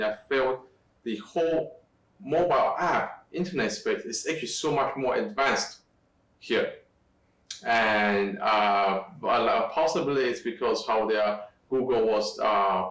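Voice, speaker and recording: A middle-aged man from Taiwan speaks in a halting, restarting way as an interview guest. His voice sits back from the microphone in a roomy, echoing space. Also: lowish voice, fairly bright tone. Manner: halting, many restarts and fillers. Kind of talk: conversational interview. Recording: echoey room, distant from mic.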